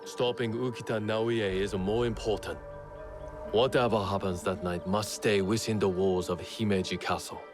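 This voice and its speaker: A man playing a resolute, calculating feudal Japanese lord in a video game, speaking formally and gravely. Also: fairly low pitch, fairly even intonation, moderate pace, lightly acted. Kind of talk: cinematic video game acting. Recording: prominent music behind the voice. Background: clear background music.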